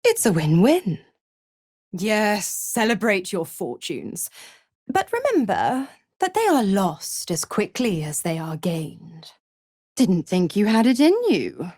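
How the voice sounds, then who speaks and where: A woman's voice: mid-range voice, wildly swooping pitch, slow, darkish tone, exaggerated voice. A woman playing a seductive, scheming half-devil in a video game, smug and condescending, with a silky, theatrical delivery and mock sweetness. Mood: smug, teasing. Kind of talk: scripted video game character acting.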